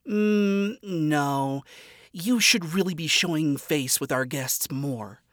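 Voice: medium pitch, slow, a bit bright, no echo, clean studio sound. A reader voicing an earnest, upbeat young demon princess who speaks in an eager, sincere way. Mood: playful.

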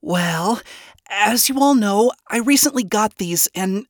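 A high, animated young princess played by a woman narrator. She is a chipper, earnest leader from an audio story, hesitant and trying hard to sound upbeat. The sound is dry and close. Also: normal speed, no echo. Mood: forced enthusiasm. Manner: hesitant, forced cheer.

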